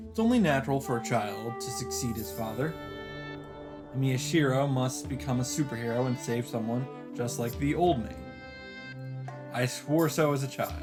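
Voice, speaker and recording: Man reading a visual novel over music. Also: mid-range voice. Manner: expressive reading, occasional casual asides. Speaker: let's play host. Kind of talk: dramatic read-aloud narration with asides. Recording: music under the voice.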